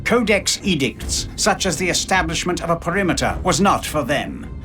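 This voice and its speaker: A man playing a grave, knowing storyteller, giving scripted dramatic narration for a war game in a measured, portentous manner. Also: fairly high pitch, sing-song, over music. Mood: grave, foreboding.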